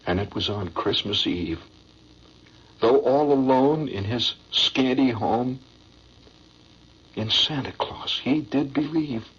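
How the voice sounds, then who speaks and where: A sorrowful father played by a man reciting a stage melodrama in a husky, rough voice, slow and mournful. The delivery is theatrical and grave, heard over a dull old radio broadcast. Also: mid-range voice, pitched below the performer's own voice. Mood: solemn.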